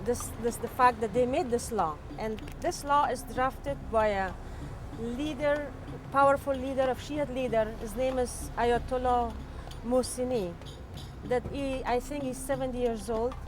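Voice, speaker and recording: Halting Afghan-born woman with music behind. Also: on the high side. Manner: halting, restarts phrases, emphatic. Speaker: interviewee. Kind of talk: personal testimony in an interview.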